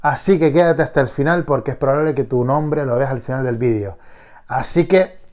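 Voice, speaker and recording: A man's voice: mid-range voice, normal speed, darkish tone. Stuffy-nosed man. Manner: enthusiastic, many restarts. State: congested with a cold, nasal. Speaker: collector vlogger. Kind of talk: casual vlog.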